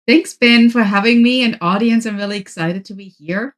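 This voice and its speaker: A woman's voice: a little high, normal speed. A woman from Germany with a German accent, a native German speaker, talking as a podcast guest and giving structured, upbeat advice. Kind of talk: interview conversation.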